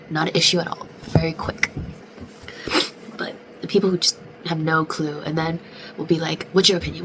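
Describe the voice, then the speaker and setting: A young woman in her twenties chatting casually in a vlog, congested and close to losing her voice, filling her talk with "like". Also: on the low side. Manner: chatty, venting, many "like" fillers. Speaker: vlogger. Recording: noisy background.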